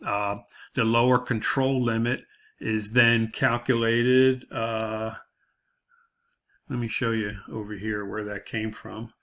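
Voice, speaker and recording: Bright-voiced man explaining a tutorial. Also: medium pitch. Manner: explanatory, repeats and rephrases points. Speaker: instructor. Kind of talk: off-the-cuff tutorial walkthrough of a spreadsheet.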